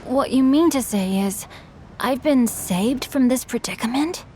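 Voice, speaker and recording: A woman's voice: high voice, unhurried, dark tone, slight background noise. A woman voicing a refined, bookish teenage boy in a video game, eloquent and composed. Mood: composed, relieved. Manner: eloquent, polished. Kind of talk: scripted game dialogue. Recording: music behind the voice.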